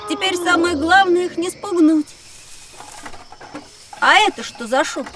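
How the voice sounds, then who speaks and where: A woman voicing a curious, excitable young boy in a children's educational drama, eager and emotive. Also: very high voice, unhurried, far from the mic, background noise. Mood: excited, worried.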